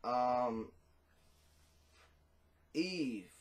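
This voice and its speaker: A man's voice: mid-range voice, expressive, very slow. A man in his thirties, a rapper talking casually and bluntly in an interview, cursing freely, on a video call from a small room at home. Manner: blunt, profane, laid-back.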